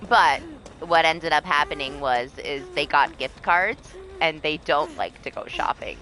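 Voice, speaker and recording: A woman, middle-aged, with a raspy voice, chatting casually and freely with viewers as she streams a video game. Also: low voice, a little hiss or hum. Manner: animated, chatty, quick to laugh, swears freely. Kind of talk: casual chat with stream viewers while gaming.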